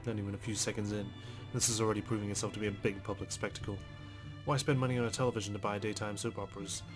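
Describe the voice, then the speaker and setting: A man reads a visual novel's narration aloud in a dry, understated style. His voice is lowish and flat, moving quickly over faint background music. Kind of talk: reading narration aloud in a let's play.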